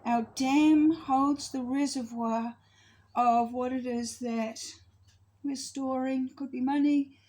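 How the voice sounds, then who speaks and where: A woman's voice: sits high, fairly even intonation, slow pace, warm tone. A woman in her fifties talking casually to her viewers about astrology, rambling, losing her thread and correcting herself as she goes. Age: 50s. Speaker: astrology vlogger. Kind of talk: casual spoken monologue to camera.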